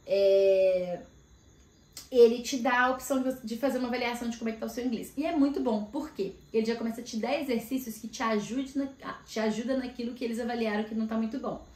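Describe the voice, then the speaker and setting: A woman in casual chat, vlogging and telling about her week with lively asides. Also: a little high, fast talker. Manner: chatty, animated storytelling with frequent asides. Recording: echoey room.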